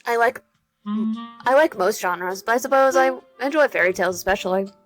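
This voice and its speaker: A woman's voice: fairly high pitch, normal speed, faint music behind, lightly acted. A woman voicing a shy, bookish young woman in a dating game, eager and a little flustered.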